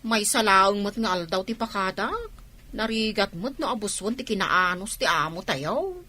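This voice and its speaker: Radio drama role: long-serving older maid, played by a woman, coy and fussy, forceful tone, medium pitch. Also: lively intonation, moderate pace.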